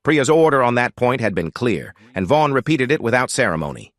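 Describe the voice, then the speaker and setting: Man narrating a story with lively pitch swings. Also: brisk, dry recording. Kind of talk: scripted first-person audiobook-style story narration.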